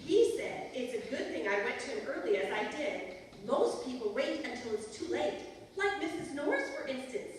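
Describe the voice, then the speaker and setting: A woman's voice: high voice, very animated, moderate pace, hardly any pauses. A woman playing a scatterbrained, chatty wife in an old-time radio comedy, earnest and muddling her words. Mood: chatty, confiding. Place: college radio theatre stage performance. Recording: echoey hall from far off, faint noise.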